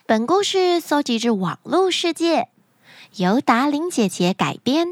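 A woman hosting a children's story show, speaking to young listeners in an animated, expressive storytelling manner. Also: high-pitched, a little slow, no room sound, clean studio sound. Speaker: children's story podcast host.

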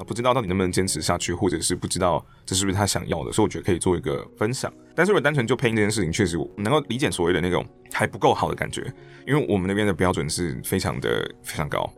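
A man's voice: low-pitched, racing, vocal fry, soft background music. A man with a Taiwanese Mandarin accent chatting casually and animatedly as a guest in an interview. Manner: animated, slips into mock quotes to illustrate points. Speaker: interview guest, stage director and voice actor. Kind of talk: casual podcast interview chat.

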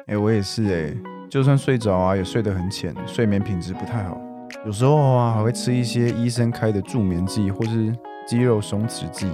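An actor playing a caring, health-conscious man around forty in a radio drama, gentle and chatty, with Taiwanese Mandarin speech. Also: fairly low pitch, brisk, music in the background, close to an everyday voice. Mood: apologetic, subdued.

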